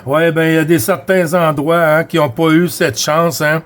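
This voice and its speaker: A man's voice: a little high, level tone, moderate pace. A man with a Quebec French accent chatting casually as a CB radio operator, often repeating himself. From Quebec, Canada. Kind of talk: casual radio contact chat.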